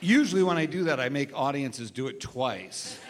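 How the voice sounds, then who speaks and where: A man with a loud, carrying voice talking conversationally as a guest commentator before a live audience. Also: medium pitch, animated, normal speed, crowd noise, noisy background. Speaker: radio show guest and political commentator. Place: large room with a live audience. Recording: reverberant live stage hall.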